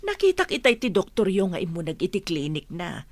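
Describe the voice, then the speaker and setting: A woman's voice: on the low side, wildly swooping pitch, a little fast, dry recording, full character voice. A woman playing an inquisitive, concerned middle-aged auntie in a radio comedy drama. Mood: curious, concerned.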